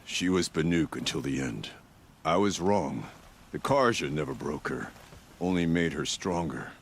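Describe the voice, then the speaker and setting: A solemn game chieftain, super deep n gravelly, humbled, measured, bit of background noise. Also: male voice, lively intonation, exaggerated voice. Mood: grieving, solemn. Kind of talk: solemn video game cutscene dialogue.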